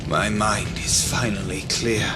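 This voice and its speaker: A man playing a resolute cursed hero turned beast in a video game, speaking slowly, with solemn drama. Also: on the low side, fairly even intonation, natural voice. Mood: grave, determined. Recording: loud background music over voice.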